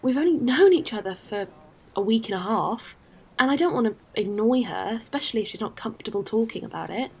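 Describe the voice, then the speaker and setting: High-voiced, earnest young-sounding spacefarer. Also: female voice, lively intonation, fairly quick, darkish tone, husky. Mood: worried. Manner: hesitant, earnest. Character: caring, earnest female space traveler, a little unsure. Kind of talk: audio drama acting.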